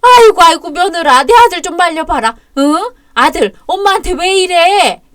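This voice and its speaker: Woman playing a shrill cruel old mother-in-law. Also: takes it slowly. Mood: pleading. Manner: screeching, insulting, whining when cornered. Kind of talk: Korean audio drama acting.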